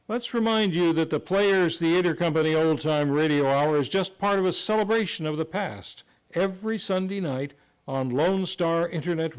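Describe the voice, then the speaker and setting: A man hosting a radio show with formal, theatrical, scripted old-time announcing. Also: fairly high pitch.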